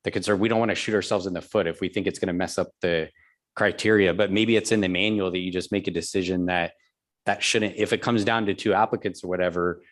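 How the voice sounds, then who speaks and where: Male city staffer with a deep voice, explaining to council members while he thinks aloud. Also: steady tone, fast talker. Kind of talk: public meeting discussion.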